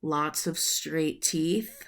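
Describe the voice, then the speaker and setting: Female storyteller, thirties, lowish voice, very unhurried children's read-aloud, recorded at home on a webcam. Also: fairly bright tone. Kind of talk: reading a children's book aloud. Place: small room at home, indoors.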